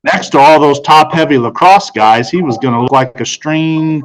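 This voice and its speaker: A man's voice: a little high, moderate pace, poor audio. A man reading scripted children's fiction aloud as an audiobook narrator.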